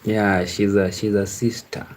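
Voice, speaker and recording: A man hosting a casual live stream, chatting with viewers in a halting, repetitive way. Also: fairly low pitch, little pitch movement, a little mellow. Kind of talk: casual chat with live viewers, reading out and answering comments.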